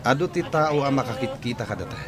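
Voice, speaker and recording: A man plays a proud young schemer in a radio play, brooding over being spurned. His pitch swings widely as he speaks emphatically, with a clear murmur of a crowd behind him. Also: animated, fast, natural voice. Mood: insistent. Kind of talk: radio drama acting.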